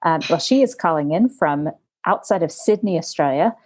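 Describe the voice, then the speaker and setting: A woman hosting a podcast, introducing her guest and chatting with her in a relaxed, searching way. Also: fairly low pitch, moderate pace. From United States. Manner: warm, talkative, searching for words with fillers. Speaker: podcast host. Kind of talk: conversational interview. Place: home studio in Montana.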